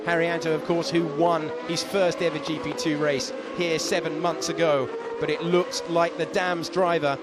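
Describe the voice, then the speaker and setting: A man calls a motor race in brisk, flowing play-by-play, his voice sitting a touch high. The roar of race cars runs loud underneath him the whole time. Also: level tone. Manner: brisk, fluent play-by-play calling. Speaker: lead commentator. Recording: voice over loud race-car noise.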